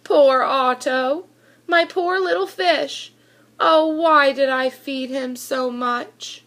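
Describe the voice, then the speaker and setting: A woman with a high voice reads a children's storybook aloud. She takes her time, with a deliberate, animated storytelling delivery that puts expressive emphasis on the words. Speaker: school reader of children's books. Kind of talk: expressive children's picture book read-aloud.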